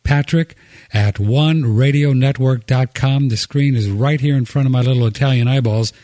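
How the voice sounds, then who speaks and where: A man's voice: medium pitch, moderate pace, warm tone, dry recording, studio quality. A man hosting a radio talk show, chatty and joking as he gives out phone numbers and introduces his co-host. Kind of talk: casual radio chat. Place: radio studio.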